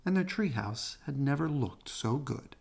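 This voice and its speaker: A man reading a children's picture book aloud in an animated storytelling style. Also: medium pitch, a little slow, creaky, husky. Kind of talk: animated read-aloud narration.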